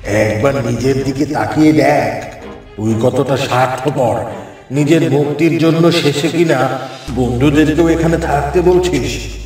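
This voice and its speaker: A man as a slow, echoing, gravelly cave king. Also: a little mellow, lightly acted. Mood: cursing. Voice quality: heavy, gravelly voice. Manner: slow, taunting, booming pronouncements. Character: menacing cave king spirit, judging and cursing trapped men. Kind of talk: cartoon fairy tale acting. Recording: heavy echo, distant, music behind.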